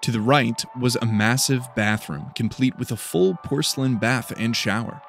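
A man's voice: medium pitch, normal speed, creaky. A man reading scripted fiction as a dramatic audiobook narrator. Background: faint music.

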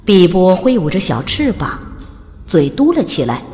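A woman's voice: lowish voice, very animated, normal speed, warm tone, big-room sound. Woman narrating a storybook in lilting tones. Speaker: audiobook narrator.

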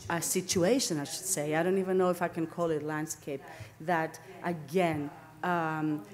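A middle-aged woman with a Greek accent lectures at an academic seminar. Her voice sits a little low, and she speaks haltingly, often searching for the right word and correcting herself as she explains. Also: steady tone, darkish tone. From Greece. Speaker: academic lecturer, landscape architect. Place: indoor lecture room, at a lectern.

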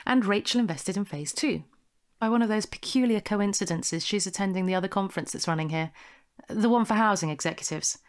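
A woman playing a smooth, bossy con artist with a brisk, persuasive manner in an audio drama. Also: medium pitch, lightly acted. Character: smooth, bossy female con artist posing as investment promoter.

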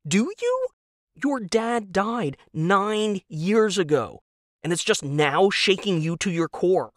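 Man acting out a high-pitched exasperated young wife. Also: big pitch swings, takes it slowly, no room sound, clean studio sound, full character voice. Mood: angry, cutting. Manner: biting, emphatic, incredulous. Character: exasperated, furious young wife, sharp and scornful. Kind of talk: audio drama storytelling.

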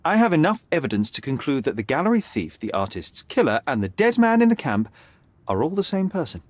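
A confident young male detective in a game drama. His fairly high voice swings with lively emphasis, each formal phrase pointed and articulate. The sound is close and dry. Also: brisk, dry recording. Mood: assured, accusing. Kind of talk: dramatic video game acting.

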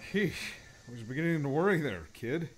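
Man playing animated, eager mentor. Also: fairly high pitch, moderate pace, soft background music, rough recording. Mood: worried, eager. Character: eager, fretful male mentor.

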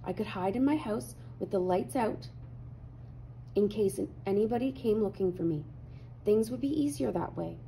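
Bright-toned woman reading a storybook, noisy background. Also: mid-range voice, moderate pace. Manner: animated storytelling with clear dramatic emphasis. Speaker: read-aloud host. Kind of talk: expressive children's picture-book read-aloud.

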